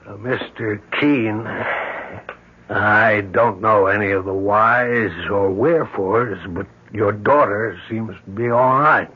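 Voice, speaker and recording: An actor playing a brisk, concerned and reassuring male family doctor in a 1970s radio drama. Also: lowish voice, slightly rough. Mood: concerned, professional. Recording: muffled 1970s radio broadcast recording.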